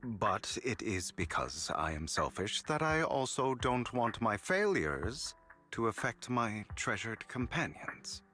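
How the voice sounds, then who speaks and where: Smooth showy con man in a game, slow and dramatic, bright tone. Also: fairly low pitch, big pitch swings, faint music behind, exaggerated voice. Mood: theatrical. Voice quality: smooth, dramatic, sometimes whispering. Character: suave theatrical male master con artist. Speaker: voice actor. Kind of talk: game cutscene acting.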